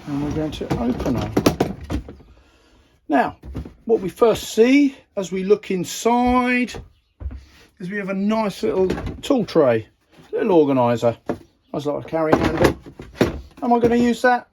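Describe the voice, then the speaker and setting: A man with a croaky voice, hosting a casual tool review video in a chatty, rambling way and laughing at himself. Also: sits high, big pitch swings, unhurried, pauses often, darkish tone, slight background noise. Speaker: YouTube channel host.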